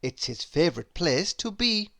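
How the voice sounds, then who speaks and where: A woman reading a children's story aloud as an expressive, unhurried storyteller. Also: lowish voice, gravelly. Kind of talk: children's read-aloud narration.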